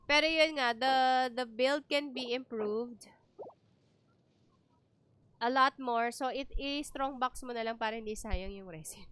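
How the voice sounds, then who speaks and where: A woman's voice: sits high, unhurried, pauses often, fairly bright tone, clear, resonant tone. A woman with a Filipino accent giving casual, chatty livestream game commentary, explaining things as she goes. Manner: chatty, explanatory, switches between two languages. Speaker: game streamer.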